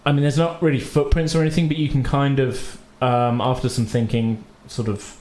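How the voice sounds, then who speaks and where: A man's voice: medium pitch, level tone, brisk, darkish tone. Informal man hosting a tabletop game. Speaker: host and game master. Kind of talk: casual podcast intro and tabletop game narration.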